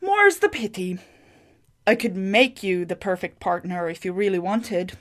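A woman voicing a mischievous, scheming sorceress with a teasing, suggestive manner and a slow delivery. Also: mid-range voice, lively intonation, fairly bright tone. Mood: breezy.

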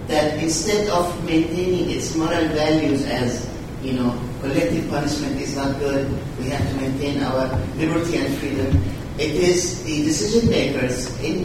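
A man from Syria asking a long question from the audience, hesitant and repeating words as he builds his point. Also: on the high side. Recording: very echoey hall, distant mic, noise.

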